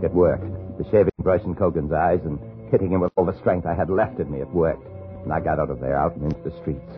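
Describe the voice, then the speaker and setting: An actor playing an exhausted, desperate man framed for murder in a 1950s radio drama, halting and pleading. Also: rumbling low. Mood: tense narrating. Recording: muffled old radio broadcast with music.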